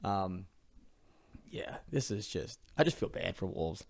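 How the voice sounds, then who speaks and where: A man's voice: low-pitched, sing-song, unhurried, creaky, no echo. A man hosting a casual football podcast over a headset, chatty and swearing freely. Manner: swears often, loose and chatty. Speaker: podcast host. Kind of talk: casual chat.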